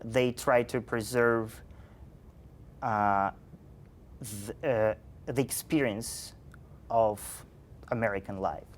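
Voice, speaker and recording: A man, a guest in an interview, speaking with many pauses while he searches for words. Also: lowish voice, fairly even intonation, slight background noise. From Ukraine.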